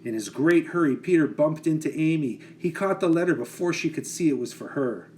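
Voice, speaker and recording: A man reading a children's picture book aloud with expressive, dramatic phrasing. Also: on the high side, brisk, a little hiss or hum.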